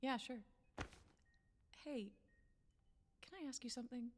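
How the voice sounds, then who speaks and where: An actress playing a wary, defensive patient in a radio drama, with a halting, skeptical way of talking. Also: female voice, on the high side, slight background noise, natural voice. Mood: uneasy, curious. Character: wary, defensive female patient, questioning everything. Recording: echoey, long reverb.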